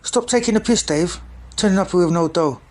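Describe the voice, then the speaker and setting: A man voicing a pushy young male street dealer, talking in rough street slang. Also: sits high, normal speed, darkish tone, pitched above the performer's own voice, natural voice. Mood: scolding.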